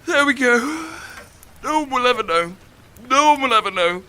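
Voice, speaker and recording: An actor playing a distraught, fragile young adult in an audio drama, muttering alone in halting repeats. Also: female voice, sits high, animated, bright, forceful tone, slight background noise. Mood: agitated, bitter.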